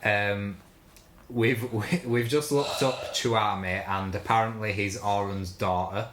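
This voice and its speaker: A man's voice: on the low side, fairly even intonation, measured pace, a bit bright, slight background noise. A man co-hosting a casual panel discussion, talking in a critical, incredulous manner. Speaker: podcast co-host. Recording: roomy echo.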